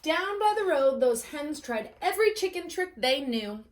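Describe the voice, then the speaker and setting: A woman storyteller reading aloud to children in an expressive, dramatic way, as at bedtime story time. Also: high-pitched, moderate pace, slight background noise.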